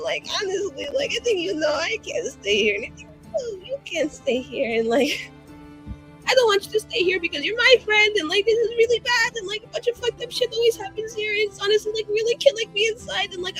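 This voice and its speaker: A woman's voice: high-pitched, fairly bright tone, heavily acted, rough recording. A woman voicing a nervous, scheming young mage in a fantasy tabletop game, rambling, slangy and anxiously earnest.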